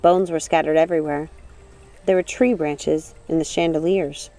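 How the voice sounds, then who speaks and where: Female audiobook narrator: expressive, suspenseful dramatic reading, bright tone, soft music underneath. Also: low voice, normal speed. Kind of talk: dramatic scripted storybook narration.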